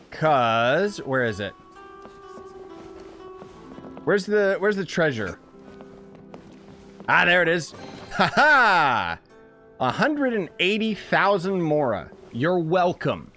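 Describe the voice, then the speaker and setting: A young man doing casual live game commentary in an excitable, playful, slangy way. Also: fairly high pitch, a little slow. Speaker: game streamer. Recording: game music behind the voice. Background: game music.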